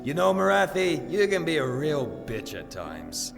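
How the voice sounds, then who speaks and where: A gravelly, sarcastic paladin, as a man acts him out, quick to jab at his companions in a fantasy audio drama. His fairly high voice has a rough fry to it. Long reverb and loud music sit under him. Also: sing-song, exaggerated voice. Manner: sarcastic, gruff. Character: gruff, bickering male paladin warrior. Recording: reverberant hall, music behind.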